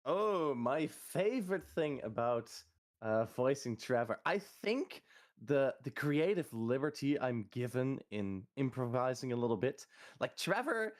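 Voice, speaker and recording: A man's voice: medium pitch, sing-song, measured pace. A man, a voice actor, answering a fan question in an enthusiastic, chatty way. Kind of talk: casual Q&A answer.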